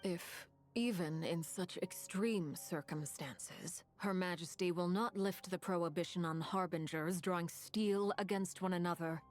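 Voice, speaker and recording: Woman acting resolute noblewoman, lowish voice, formal, deliberate, game dialogue, faint music behind. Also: close to an everyday voice.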